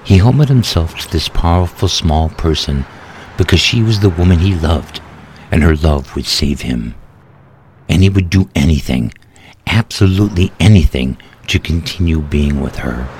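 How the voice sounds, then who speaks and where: A man's voice: a bass voice, moderate pace, darkish tone, slight background noise. A man reading a scripted story as a dramatic narrator. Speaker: author narrating own story. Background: music.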